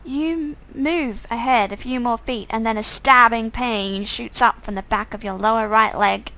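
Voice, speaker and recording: A woman's voice: on the high side, measured pace, bright, forceful tone, poor audio. A woman streamer reading a visual novel game aloud as second-person story narration.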